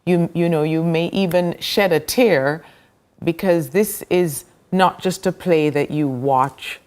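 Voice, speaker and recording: A woman's voice: fairly low pitch, slow pace, a little mellow. A Jamaican woman, a playwright, talking earnestly about her play in a casual interview. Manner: earnest, heartfelt promoting. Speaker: playwright guest.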